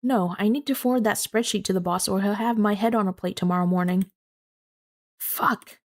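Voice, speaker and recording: A woman's voice: medium pitch, normal speed, natural voice. A woman voicing a grumbling, overworked office worker in a visual novel. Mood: irritated.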